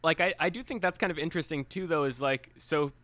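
A man's voice: fairly high pitch, quick pace, fairly bright tone, dry recording. A man co-hosting a casual discussion, talking in a loose, rambling, hedging way peppered with "like". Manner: rambling, hedging, heavy use of "like". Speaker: co-host. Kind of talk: casual film discussion chat.

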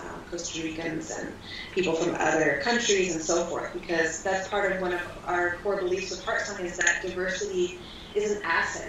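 Earnest lady guest talking fluently, lots of ums, lowish voice, very echoey and far from the mic, noisy. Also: fairly bright tone. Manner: flowing, earnest, frequent ums. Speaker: school founder guest. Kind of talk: earnest interview answers.

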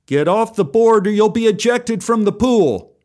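A man's voice: sits high, lively intonation, measured pace, pitched above the performer's own voice. A man voicing a stern, exasperated pool lifeguard barking orders in a told story. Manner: loud, barking, commanding. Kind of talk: acted dialogue in a told story.

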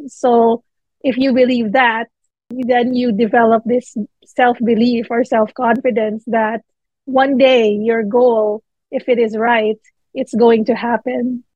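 A woman's voice: fairly high pitch, a little mellow, no echo. A woman from the Philippines, a book editor, talking thoughtfully as a guest in an interview. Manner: thoughtful, with fillers. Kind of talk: interview conversation. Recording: dull dry lo-fi sound.

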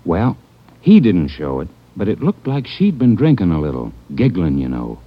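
An American actor playing a nervous, defensive small-hotel keeper in an old radio drama, evasive and protesting. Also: male voice, deep voice, wildly swooping pitch, normal speed, full character voice. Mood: uneasy, evasive. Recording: muffled old radio broadcast recording.

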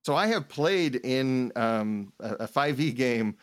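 Guy co-hosting a podcast, kinda halting as he thinks out loud, mid pitch, dry sound. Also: lively intonation, no echo. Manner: halting, thinking aloud in fragments. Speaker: podcast co-host. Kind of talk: casual chat about tabletop role-playing.

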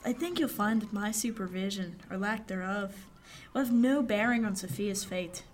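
A woman's voice: medium pitch, expressive, normal speed, lightly acted. A woman playing a plainspoken, encouraging seasoned sailor in a period radio drama, folksy and reassuring. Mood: calm, firm. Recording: background noise, ship ambience.

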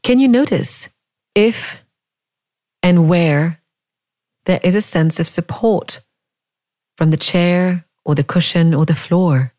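A woman leading a guided meditation, speaking in gentle, inviting questions with long pauses between phrases. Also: lowish voice, dry recording, studio quality. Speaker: meditation teacher.

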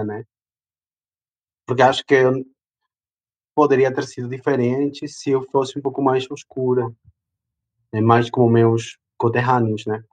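A young Peruvian man, a native Spanish speaker with a clear accent, telling his story in an interview. He talks slowly and loosely, dropping in lots of fillers. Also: mid-range voice. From Peru. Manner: slow, unhurried, chatty with many fillers. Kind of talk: casual personal storytelling.

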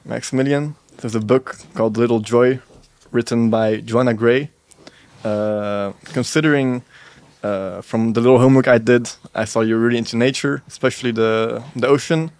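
A man's voice: medium pitch, steady tone, moderate pace, a little mellow. A young man hosting a podcast interview in a shop, friendly and eager, often repeating words as he starts a sentence. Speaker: podcast host. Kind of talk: casual interview chat. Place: pop-up store.

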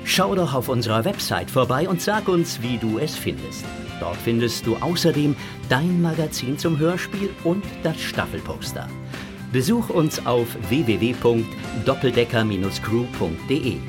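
A man's voice: medium pitch, sing-song, moderate pace. A man narrating a scripted audio story for children. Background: music.

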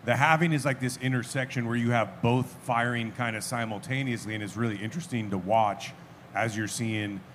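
A man on a live event panel, explaining ideas in a relaxed way. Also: medium pitch, a little fast, slight background noise.

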